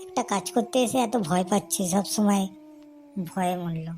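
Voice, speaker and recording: A woman's voice: mid-range voice, normal speed, background noise, natural voice. A woman voicing a bossy, scheming young boy in a comedy cartoon, with a pushy, chatty, cajoling way of talking. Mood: pushy, impatient. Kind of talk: Bengali comedy cartoon voice acting.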